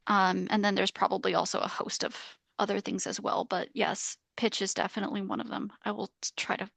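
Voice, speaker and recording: Creaky-voiced woman on a video call. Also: mid-range voice, flat delivery, a little fast, a bit bright, no echo. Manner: hesitant, frequent restarts and fillers. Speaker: researcher on a discussion panel. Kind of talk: academic round-table discussion.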